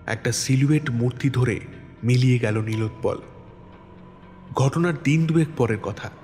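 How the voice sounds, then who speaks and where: A man's voice: medium pitch, normal speed, a little mellow, soft background music. A man reading scripted dramatic narration as the narrator of an audio story. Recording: long hall reverb.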